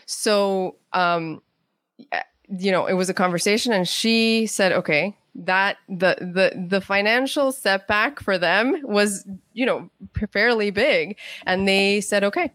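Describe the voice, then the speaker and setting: A woman's voice: medium pitch, unhurried, fairly bright tone, dry recording. A middle-aged woman, an author being interviewed, telling stories in a conversational way. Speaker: guest author and playwright.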